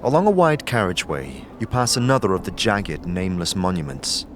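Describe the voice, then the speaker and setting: A man's voice: sits low, sing-song, fairly quick, background noise. A man narrating a fantasy tale in the second person as dramatic audio storytelling.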